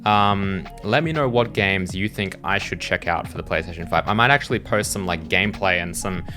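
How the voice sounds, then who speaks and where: A man's voice: sits low, brisk, bright, forceful tone, soft background music. A man giving casual, unscripted reaction commentary as a host, hesitant and rambling as he thinks aloud. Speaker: host reacting to game trailers as a game developer.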